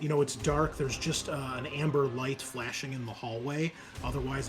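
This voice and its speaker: A man narrating a tabletop horror game as game master, halting and thinking aloud as he describes the scene. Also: mid-range voice, a little hiss or hum. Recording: music behind the voice. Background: music.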